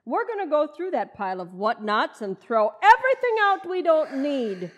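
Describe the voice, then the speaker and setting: A woman playing a long-suffering, practical wife in an old-time radio comedy, wry and gently chiding. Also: sits high, big pitch swings, moderate pace.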